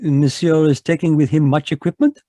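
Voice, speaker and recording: Man voicing a precise Belgian detective, French accent, rather high voice, dry sound. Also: fairly even intonation, normal speed, mellow, no room sound, natural voice. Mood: polite, shrewd. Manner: precise, polite, sprinkles French words. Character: fussy Belgian detective, middle-aged man. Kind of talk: radio drama read live over video call. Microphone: home microphone on a video call.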